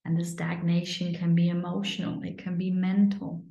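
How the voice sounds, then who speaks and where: A woman leading a guided breathing meditation in a soothing, instructional way, sometimes dropping to a breathy near-whisper. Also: on the low side, little pitch movement, normal speed, mellow. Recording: muffled, lo-fi, roomy echo.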